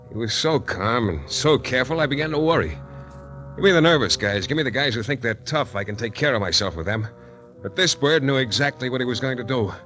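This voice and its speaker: A tough private eye in a 1940s radio drama. His voice is mid-pitched and bright, with a clipped, wry, intense hardboiled delivery. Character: tough, wry male private detective narrating his case. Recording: 1940s radio broadcast recording.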